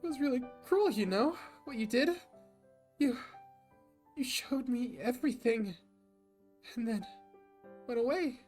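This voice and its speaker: A woman's voice: medium pitch, animated, measured pace, pauses often, pitched above the performer's own voice, lightly acted. A man voicing a tearful, overwhelmed young woman who talks in breathless, sobbing bursts. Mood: tearful, overjoyed. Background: music.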